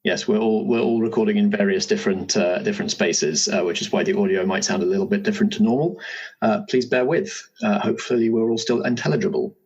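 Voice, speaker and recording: A man's voice: lowish voice, fast talker, darkish tone, slightly rough, lo-fi sound. A man hosting a podcast in a casual, chatty style, recorded remotely at home. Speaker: host.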